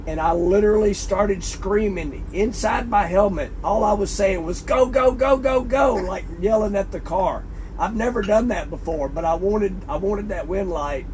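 Man, fifties, hoarse lost voice, excitable, phone vlog, noisy background. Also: sits high, moderate pace. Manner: excitable, laughing, lets out loud woos. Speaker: drag racing driver and vlogger. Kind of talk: casual vlog to camera. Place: inside a trailer or motorhome. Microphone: phone camera at arm's length.

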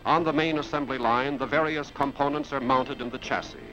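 A man's voice: medium pitch, fairly even intonation, a little fast. An American man reading a scripted 1950s industrial film narration in an explanatory announcer's delivery. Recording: muffled 1950s film soundtrack with music. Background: background music.

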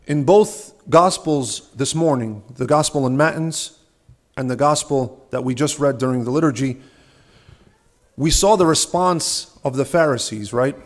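A young man, a subdeacon, giving an earnest, measured sermon at a church lectern, asking rhetorical questions. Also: medium pitch. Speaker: subdeacon preaching. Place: large ornate church, at a lectern. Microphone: lectern microphone.

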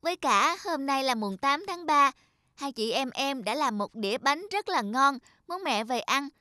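A woman voicing a small, earnest child in an animated moral story, polite and pleading. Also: sits high, a little slow, no echo, heavily acted. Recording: music behind the dialogue.

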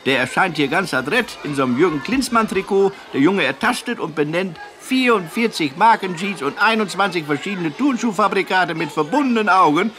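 A man's voice: sits high, expressive, fairly quick, few pauses, sharp tone. An actor playing an earnest, eagerly absurd male children's educator in a radio comedy interview, explaining things with little question tags. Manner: explanatory, with frequent "nicht?" tags.

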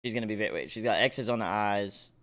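A man hosting a reaction video, giving excited, casual commentary in slangy, exclamatory bursts. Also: lowish voice, quick pace.

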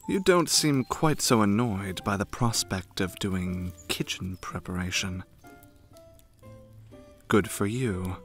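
A man playing a frightening, coldly devoted young noble retainer in an ASMR fan roleplay, speaking formally with measured, haughty menace. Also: on the low side, a bit bright, heavily acted. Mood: haughty, disdainful.

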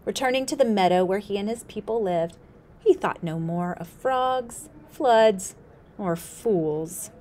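A woman in her thirties reads a storybook aloud at a slow, deliberate pace, often sinking into hushed whispers. Her voice sits in a middle range, caught close on a camera mic in an indoor room. A faint hiss runs underneath. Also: medium pitch. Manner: slow, expressive, dips to whispers. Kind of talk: reading a picture book aloud.

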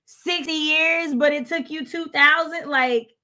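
Young american woman chatting books on a video call, highish voice, really emphatic and opinionated, repeats herself to make the point. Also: steady tone, a little slow, pushed and bright. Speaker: readalong co-host. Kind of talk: casual book discussion. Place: room at home, video call.

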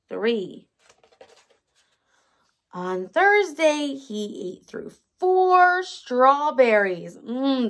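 A woman reads a story to little children like a preschool teacher. Her voice is high and swings widely up and down. She takes her time and often turns to the listeners with questions. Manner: animated, addressing young children, asking questions. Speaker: early learning teacher. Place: indoors by a large window in a room at home.

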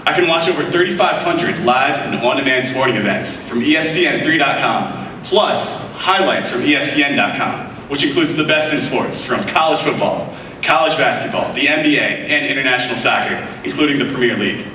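A man's voice: mid-range voice, lively intonation, a little fast, bright, forceful tone, far from the mic, slight background noise. Upbeat young man demoing on an echoey stage. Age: young adult. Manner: upbeat, enthusiastic presenter. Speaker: product demonstrator. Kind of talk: scripted live product demo. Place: large keynote stage in front of a screen. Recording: echoey hall audio picked up from afar.

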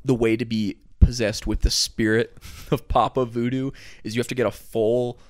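A man's voice: mid-range voice, little pitch movement, normal speed, a little mellow, no room sound, clean studio sound. A young man co-hosting a casual movie review on a couch, making wry, laughing asides into a handheld mic. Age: young adult. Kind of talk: casual chat. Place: living room, on a couch.

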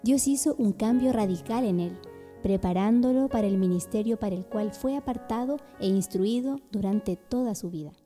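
Mellow woman narrator with long reverb. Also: medium pitch, normal speed, faint music behind. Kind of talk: scripted radio drama narration.

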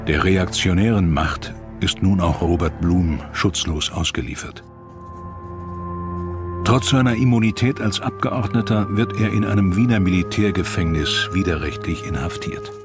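Male documentary narrator, low-pitched, grave and measured, scripted, with prominent music underneath.

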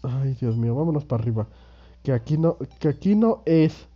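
A man's voice: medium pitch, lively intonation, brisk, dark tone, lo-fi sound. A young man in his twenties with a Mexican accent, sick with a cold, rambling through casual gameplay commentary with crude slang and self-mocking jokes. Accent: Mexican Spanish. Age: 20s. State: sick with a cold and sore throat. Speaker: gaming youtuber.

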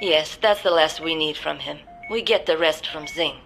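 An actress playing a cool, detached scientist working for villains in a video game cutscene, speaking precisely. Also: female voice, low-pitched, normal speed, creaky, fairly bright tone. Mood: clinical. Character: cool, clinical female scientist serving villains. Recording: music laid under the voice.

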